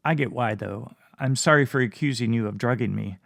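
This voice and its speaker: Dazed, wry young man in a storybook, read by a man, gravelly and dry. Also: medium pitch, a little fast, a bit bright, dry recording. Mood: bewildered. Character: bewildered young man, dry humor, anxious.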